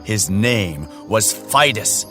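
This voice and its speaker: An actor playing a gentle father who reads a storybook aloud in a radio drama. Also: lowish voice, lively intonation, slow. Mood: measured storytelling. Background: music.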